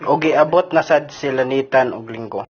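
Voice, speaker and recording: A man's voice: medium pitch, moderate pace, slight background noise. A man with a Filipino accent reading scripted narration for an amateur radio drama. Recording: dull lo-fi audio with music bed.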